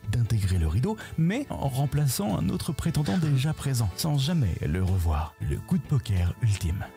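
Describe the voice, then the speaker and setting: A male narrator reads a scripted TV voice-over with dramatic announcer flair. His mellow, dark tone swings widely in pitch, over faint music. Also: sing-song, normal speed, gravelly. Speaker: show narrator.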